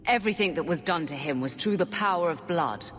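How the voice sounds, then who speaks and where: An actress playing a determined, haughty warrior commander in a fantasy game, stern, imperious and insistent. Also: female voice, on the low side, animated, a little fast, a bit bright. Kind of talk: dramatic fantasy game acting. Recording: long stone-hall reverb.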